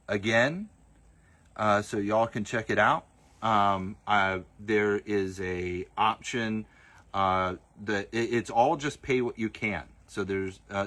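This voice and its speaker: A man's voice: on the low side, bright, forceful tone. A man with a light Southern accent hosting a livestream and answering viewer comments in a casual, hesitant way, with lots of fillers and restarts. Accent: Southern US, partly masked. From Southern United States. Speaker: host of a livestream.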